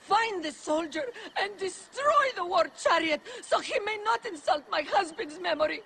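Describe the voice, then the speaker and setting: Casting: dignified, wronged widow in a historical game, very high voice, emotional and formal. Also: female voice, expressive, normal speed, sharp tone, exaggerated voice. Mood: aggrieved, pleading. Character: grieving ancient Egyptian widow, dignified and pleading. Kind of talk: video game cutscene acting.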